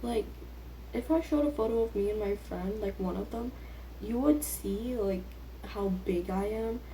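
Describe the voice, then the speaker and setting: A woman's voice: mid-range voice, unhurried, warm tone. A teenage girl chatting casually and rambling, with a self-deprecating, youthful way of talking full of filler words. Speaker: vlogger. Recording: echoey room with faint background noise.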